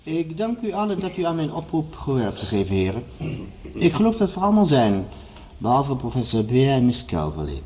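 Commanding, mellow-voiced male project leader. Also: mid-range voice, wildly swooping pitch, a little hiss or hum. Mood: formal, authoritative. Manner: formal, orotund, measured authority. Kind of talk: radio drama acting, formal speech-making.

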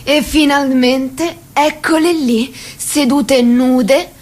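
A woman acting as the wry narrator of a political satire for radio theatre, measured and theatrical with dramatic pauses. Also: sits high, fairly even intonation, a little mellow.

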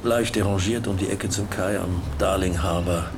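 Wry old-school ship captain telling his tale, really low voice, music behind. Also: steady tone, normal speed. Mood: wry recollection. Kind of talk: first-person dramatic monologue in a radio play.

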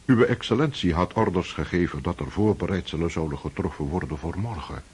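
An actor as a deep-voiced loyal old servant. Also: male voice, darkish tone, slightly rough. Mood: deferential. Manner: respectful, slow, humble. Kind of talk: radio drama acting.

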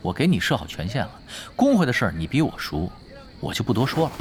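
A man's voice: mid-range voice, big pitch swings, fast, natural voice. Actor as easygoing, teasing gamer guy, swooping intonation. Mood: teasing, breezy. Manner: nonchalant, teasing, smoothly persuasive. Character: easygoing, shrewd, laid-back male gamer recruiting helpers for his guild. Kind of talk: audio drama acting. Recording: studio drama with loud background music.

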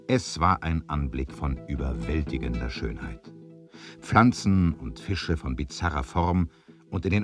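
An actor narrating a sea adventure radio play in a measured, deliberate, dramatic storytelling manner. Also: male voice, a bass voice. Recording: dry 1970s vinyl record transfer.

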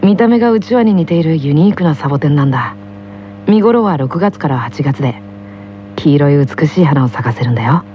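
An actor playing a gentle, polite schoolboy who delivers a soft-spoken, friendly scripted school broadcast. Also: male voice, on the high side, lively intonation, a little fast, lightly acted. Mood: calm, friendly. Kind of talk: scripted in-character radio monologue. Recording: dull lo-fi radio audio, faint noise.

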